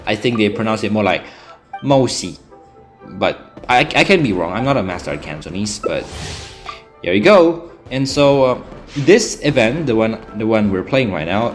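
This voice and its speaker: A young man, chatting casually through gameplay in a rambling way, full of fillers and restarts. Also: fairly low pitch. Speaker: gaming channel host. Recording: game music behind the voice.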